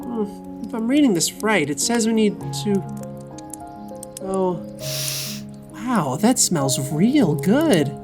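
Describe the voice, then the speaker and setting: A woman's voice: mid-range voice, animated, takes it slowly, pauses often, music in the background. A woman playing a wary, caring and easily exasperated male adventurer in an audio drama. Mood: weary, distracted.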